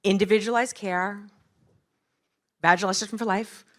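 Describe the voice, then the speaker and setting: A woman's voice: medium pitch, steady tone, moderate pace, fairly bright tone. Candid chatty woman in her fifties. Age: 50s. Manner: candid, chatty, rallying the audience with questions. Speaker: nonprofit founder closing a panel event. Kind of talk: closing remarks to a live audience.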